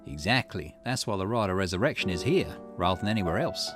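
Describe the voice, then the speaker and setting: A man's voice: sits low, lively intonation, fast, music in the background, pitched below the performer's own voice. A man voicing a shrewd, confident male investigator in a visual novel, speaking in a conversational, explanatory way. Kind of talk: reading visual novel dialogue aloud.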